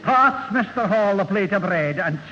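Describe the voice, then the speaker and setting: A man's voice: high voice, lively intonation, moderate pace, bright, forceful tone. A man playing a quarrelsome old Scottish uncle in a radio sitcom, scolding and indignant. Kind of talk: 1970 radio sitcom acting. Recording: muffled old radio recording, hall reverb, hiss.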